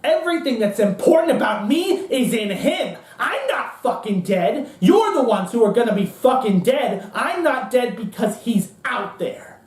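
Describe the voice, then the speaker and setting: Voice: mid-range voice, very animated, measured pace, hardly any pauses, pitched above the performer's own voice. A performer doing a bitter, ranting power broker, echoey. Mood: defiant pride. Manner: ranting, emphatic, repetitive, profane. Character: bitter, gloating, foul-mouthed dead American power broker. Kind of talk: theatrical monologue. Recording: roomy echo, distant mic.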